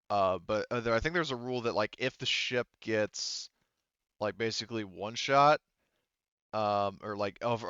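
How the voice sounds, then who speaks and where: A man's voice: on the low side, a bit bright, clean tone, dry recording. A man working as game master in a casual tabletop session, thinking aloud informally with some swearing on a slightly dull close microphone. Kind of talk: casual tabletop game narration and rules talk.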